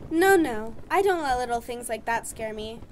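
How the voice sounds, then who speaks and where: A woman playing an excitable, anxious young newlywed bride in a radio horror play. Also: fairly high pitch, moderate pace, a little hiss or hum, natural voice. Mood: happy, excited. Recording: music under the voice.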